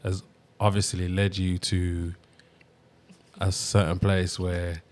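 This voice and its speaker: A man hosting an interview, asking slow, hesitant questions full of fillers. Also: low voice, little pitch movement, a little mellow. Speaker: podcast host. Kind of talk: casual interview.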